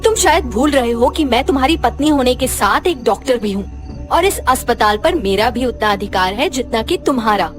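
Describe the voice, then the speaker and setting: A woman's voice: fairly high pitch, expressive, moderate pace, faint music behind, close to an everyday voice. A woman playing a caring but determined female doctor, reassuring and firmly questioning. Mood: assertive.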